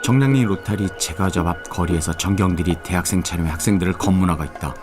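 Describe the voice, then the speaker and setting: A man narrating a radio drama in a steady, descriptive way. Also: sits low, fast. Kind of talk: scripted narration. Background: background music.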